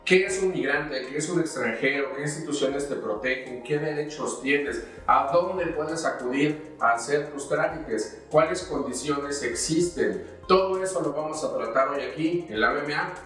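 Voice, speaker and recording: A man's voice: on the high side, moderate pace, hardly any pauses, a bit bright, faint music behind. Man hosting, echoey and distant. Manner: direct address, rhetorical questions. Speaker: show host. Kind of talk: explaining the law to viewers.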